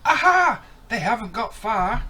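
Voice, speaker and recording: Casting: small, excitable storybook fish, very high and bright, easily startled, cheerily calling out. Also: male voice, a little slow, far from the mic, full character voice. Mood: eager, hopeful. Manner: shrill, exclamatory calling.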